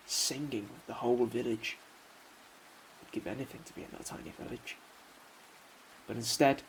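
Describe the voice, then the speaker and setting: An actor playing a bitter, homesick soldier in a radio drama, brooding and full of rhetorical questions. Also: male voice, medium pitch, a little mellow, close to an everyday voice, low-quality recording. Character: bitter, homesick young soldier, weary of war. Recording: faint hiss behind voice.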